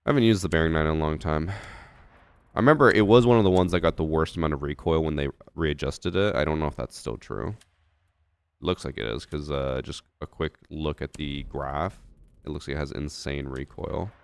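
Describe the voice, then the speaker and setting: A man's voice: extremely low pitch, brisk, gravelly, clean tone, no echo. A young man streaming a shooter game, chatting casually with his chat and thinking aloud with fillers, a little stuffy from a head cold.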